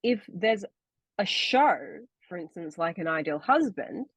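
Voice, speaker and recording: A woman's voice: fairly low pitch, lively intonation, a little slow, breathy edge, no room sound. A young woman co-hosting a podcast, chatting casually and explaining things at length with many fillers over a video call. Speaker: podcast co-host and actor. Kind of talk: casual chat.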